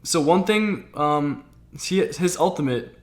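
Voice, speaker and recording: A man's voice: on the high side, lively intonation, slow pace. Laid-back man chatting on a gaming stream. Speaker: streamer, host. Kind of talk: casual gaming chat.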